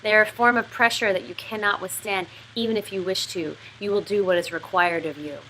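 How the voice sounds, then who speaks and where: Lady reading a menacing schoolmaster type villain guy, slow deliberate storybook monologue, bright tone, some noise. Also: mid-range voice, fairly even intonation, lightly acted, rough recording. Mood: coldly lecturing. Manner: measured, deliberate, didactic. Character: menacing schoolmasterish male villain interrogator, coldly lecturing. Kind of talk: literary reading of novel dialogue.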